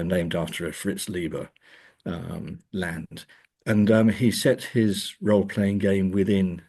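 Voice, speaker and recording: A middle-aged man speaking as an academic guest in a reflective interview, with hesitant, thoughtful, measured phrasing. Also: deep voice, warm tone, breathy edge.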